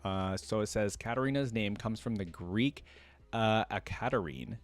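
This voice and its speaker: Low-voiced man, chatty reaction host. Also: moderate pace. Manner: chatty, musing asides while reading. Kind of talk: casual commentary, reading aloud from wiki trivia.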